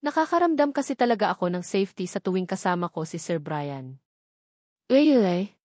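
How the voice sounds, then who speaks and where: A woman's voice: on the low side, big pitch swings, fast talker, darkish tone, no echo, studio quality. A woman with a Filipino accent reading a first-person novel as a scripted audiobook narration.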